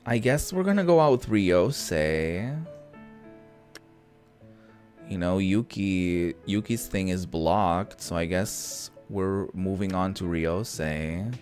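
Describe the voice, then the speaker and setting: Slow, low-voiced man playing games. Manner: casual, mildly sweary, thinks out loud. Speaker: gaming host. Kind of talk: casual let's-play commentary. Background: background game music.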